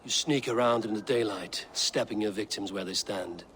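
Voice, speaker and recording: A man's voice: on the low side, normal speed, a bit bright, a little hiss or hum, natural voice. An actor playing a fierce, proud male Viking warrior who speaks bluntly and hurls insults. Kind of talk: video game cutscene acting.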